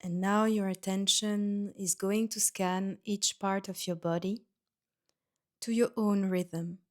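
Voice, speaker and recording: A woman guiding a yoga nidra meditation in a gentle, soothing manner, leaving pauses between short instructions. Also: mid-range voice, fairly even intonation. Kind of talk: guided meditation.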